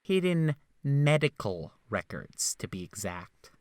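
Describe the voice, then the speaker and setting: Man acting a sardonic, animated doctor. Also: lowish voice, slow. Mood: wryly intrigued. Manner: sardonic, theatrical. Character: wry, sardonic male doctor, theatrical and smug. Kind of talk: game dub voice acting.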